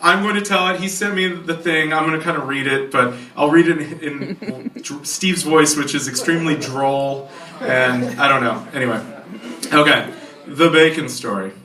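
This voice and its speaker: A man's voice: a little high, normal speed, crowd noise. A man casually telling an anecdote to a group, recorded from a distance in a roomy space. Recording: roomy echo, distant microphone.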